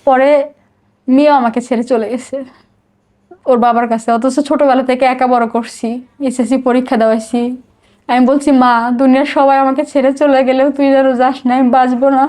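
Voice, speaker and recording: A woman's voice: high voice, level tone, fairly quick, a little mellow. A middle-aged Bangladeshi woman telling her life story in a confessional interview, emotional and outpouring, often repeating herself.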